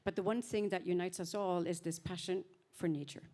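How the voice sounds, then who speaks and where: A woman's voice: lowish voice, steady tone, fairly quick, mellow. Earnest woman. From Norway. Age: 50s. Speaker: panel speaker. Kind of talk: personal storytelling on a panel.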